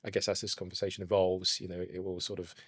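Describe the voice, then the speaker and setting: A man's voice: low voice, little pitch movement, quick pace, fairly bright tone, dry recording, studio quality. A Ghana-born man, talking as a brand co-founder in a reflective podcast conversation. He is hesitant, with many fillers and frequent "you know"s.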